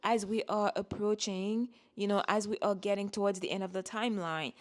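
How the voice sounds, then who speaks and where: A woman whose first language is French, giving a casual spiritual talk as an astrologer, rambling and repeating words as she reassures her viewers. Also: medium pitch.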